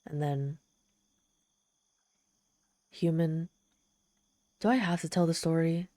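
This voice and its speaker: Mellow, haughty demon woman, acted out by a woman. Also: deep voice, no room sound. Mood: embarrassed, grudging. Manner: haughty, theatrical, halting when embarrassed. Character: proud, flustered female demon, desperate and easily exasperated. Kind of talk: ASMR roleplay storytelling to the listener.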